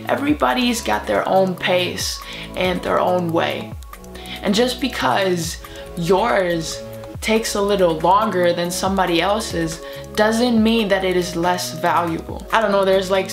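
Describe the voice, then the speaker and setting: A woman's voice: medium pitch, gravelly. A woman from Germany, German her first language, speaking English in an earnest, encouraging motivational vlog. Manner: earnest, encouraging, with soft laughs. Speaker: video creator.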